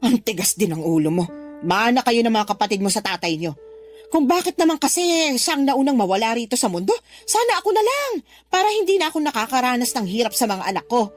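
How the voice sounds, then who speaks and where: A woman's voice: fairly high pitch, big pitch swings, brisk. An actress playing a demanding middle-aged Filipino mother who scolds and guilt-trips in a radio drama. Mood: wounded, scolding. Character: demanding, nagging mother pressuring her grown daughter. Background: background music.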